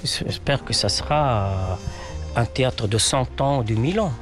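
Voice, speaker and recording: A man's voice: mid-range voice, normal speed, over music. A man whose first language is Arabic, a playwright answering interview questions in a hesitant way, with many fillers and restarts. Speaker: playwright guest.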